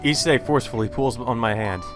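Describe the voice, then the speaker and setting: A man's voice: mid-range voice, brisk. A man narrating a let's play, reading a visual novel aloud and adding casual commentary. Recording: music behind the voice.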